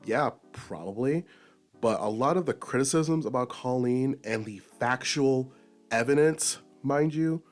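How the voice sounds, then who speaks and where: A man hosting his own commentary video, his voice raspy and a little nasal from a recent illness, talking emphatically in an unscripted rant and jumping up in pitch for emphasis. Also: unhurried, soft background music. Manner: emphatic, with sudden high-pitched bursts. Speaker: commentary YouTuber host.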